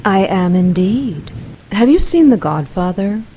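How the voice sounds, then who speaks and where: An actress playing a commanding supernatural villainess in an audio drama, coolly menacing, languid and condescending. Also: female voice, medium pitch, big pitch swings, unhurried, mellow, breathy edge.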